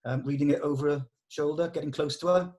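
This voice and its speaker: A man leading a script table read, explaining notes to actors and often pausing mid-thought. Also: a little high, fairly even intonation, a little mellow.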